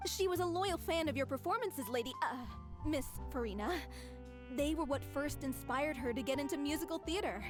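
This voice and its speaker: A woman's voice: high-pitched, animated, brisk. A woman playing a devoted, wistful theater troupe member in a video game, earnest and polite in manner. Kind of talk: video game dialogue acting. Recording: music playing behind the voice.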